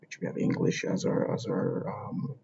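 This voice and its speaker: A man's voice: normal speed, warm tone, a little hiss or hum. A man from Jamaica, hosting a podcast and explaining ideas in an unscripted monologue, thinking aloud and circling back over his words. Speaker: podcast host. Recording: dull lo-fi recording.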